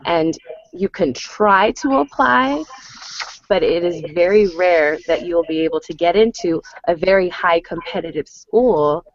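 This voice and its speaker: A woman's voice: on the low side, dry recording. A woman working as a college advisor, explaining and answering questions on a conference call. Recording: dull lo-fi conference call line.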